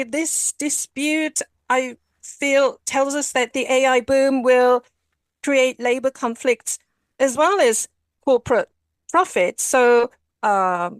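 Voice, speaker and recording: This is a woman correspondent with a fairly high voice, explaining events in a careful, measured way. She pauses often on small fillers. The recording is dry and close, with no room sound. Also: dry recording. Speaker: journalist correspondent. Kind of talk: news interview analysis.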